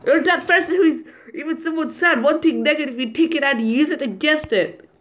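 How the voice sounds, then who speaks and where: A woman reading group chat messages aloud over a screen recording, in a slow, halting way with muttered asides, on a phone mic. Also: high voice, fairly bright tone. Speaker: channel owner.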